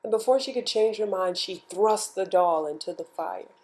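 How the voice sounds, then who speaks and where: A woman reading a bedtime story aloud in an expressive, measured storytelling manner. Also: medium pitch, poor audio. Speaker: storybook reader. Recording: music behind the voice. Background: music.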